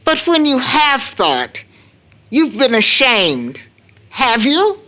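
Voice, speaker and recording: Middle-aged mother for audio drama: grave, anguished, very unhurried, with wide emotional swings in pitch. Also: huge pitch swings, pushed and bright, exaggerated voice. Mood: hurt, accusing. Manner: solemn, deliberate, emphatic. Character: grave, anguished mother baring a long-kept family secret. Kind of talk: stage audio drama acting.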